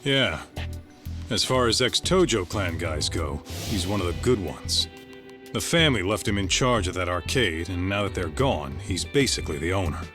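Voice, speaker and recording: A man playing a gruff, streetwise tough guy in a video game, speaking in a blunt, offhand, slangy way. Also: sits low, animated, normal speed, over music. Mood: offhand, wry. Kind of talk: English video game dub acting.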